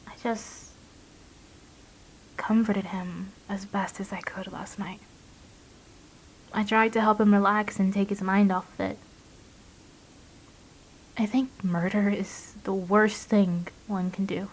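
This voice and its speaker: A woman playing a gentle, earnest young waitress, confiding hesitantly in an audio drama monologue. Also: medium pitch, level tone, takes it slowly, long pauses, husky, rough recording. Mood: sombre, confiding.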